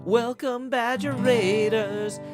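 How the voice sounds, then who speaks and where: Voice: mid-range voice, fairly even intonation, slow pace. A livestream host chatting casually and reading out viewer tips, riffing and rambling in a room shared with a guest. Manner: chatty, rambling, riffs on chat messages. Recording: guitar music playing behind. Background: guitar music.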